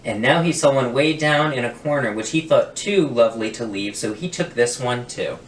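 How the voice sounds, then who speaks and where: A man reading a children's picture book aloud with deliberate storytelling emphasis, in a roomy, distant recording. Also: medium pitch, expressive. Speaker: guest story reader. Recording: roomy, distant mic, faint noise.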